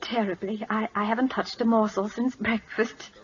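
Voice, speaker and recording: An actress playing a genteel, spirited schoolteacher at a royal court in a 1940s radio drama, polite and emphatic. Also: female voice, mid-range voice, fairly even intonation, normal speed, close to an everyday voice. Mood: tired, polite. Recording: muffled old-time radio recording.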